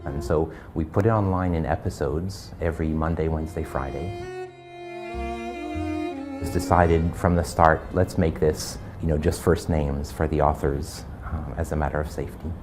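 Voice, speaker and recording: A man's voice: a bass voice, steady tone, moderate pace, over music. A man working as an editor, explaining things in a documentary interview. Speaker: book editor.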